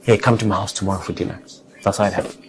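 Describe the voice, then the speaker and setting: A man's voice: sits low, steady tone, rapid-fire, creaky. A man speaking as an interview guest, telling personal stories in an earnest, conversational way with an easy readiness to chuckle. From Zimbabwe. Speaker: interview guest, car designer.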